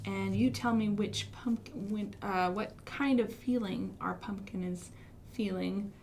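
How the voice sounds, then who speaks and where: An American woman hosting an interactive storytime for young children, asking questions and speaking in an expressive, encouraging way. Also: medium pitch, a little slow, creaky, a little hiss or hum. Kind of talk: interactive children's storytime with songs and finger rhymes. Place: live online stream for a library.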